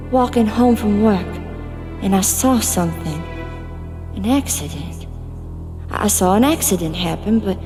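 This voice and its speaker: A woman's voice: medium pitch, lightly acted. A woman playing the ghost of a murdered young woman in an audio drama, pleading and dramatic as she demands justice. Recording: long cavernous reverb with music behind.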